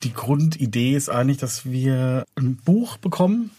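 A man's voice: mid-range voice, animated, moderate pace, mellow, slightly rough, no room sound. A man speaking as an interview guest, explaining his work in casual conversation. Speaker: interview guest, audio drama producer and composer.

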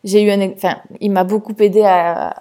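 French woman rambling on her podcast, lots of euh and restarts, pretty monotone. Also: medium pitch, normal speed, a little hiss or hum. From France. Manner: hesitant, many fillers and restarts. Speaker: podcast host. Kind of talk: casual personal monologue.

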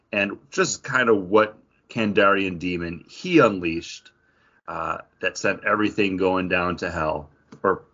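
A man's voice: low voice, bright, forceful tone, highs cut off. A man co-hosting a podcast in casual chat, telling stories with theatrical build-ups. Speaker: podcast co-host. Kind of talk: casual chat with dramatic storytelling.

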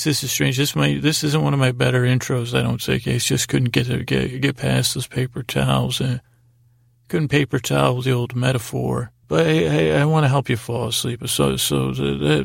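Really creaky gravelly guy doing a sleepy rambly bedtime podcast, lulling, lots of pauses, close dry sound, music under it. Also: medium pitch, flat delivery, no room sound. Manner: meandering, halting, with pregnant pauses; lulling, soothing. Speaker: podcast host.

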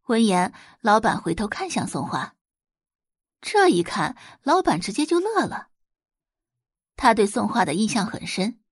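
A woman's voice: mid-range voice, husky, no echo, studio quality. A woman narrating a scripted audiobook drama in a storytelling style.

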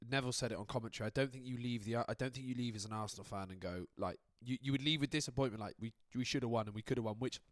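Lad on a football pod, dry close sound, loose and sweary, mid pitch. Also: male voice, racing, hardly any pauses, no echo. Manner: swearing, laughing, rambling restarts. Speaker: podcast co-host. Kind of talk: casual football chat.

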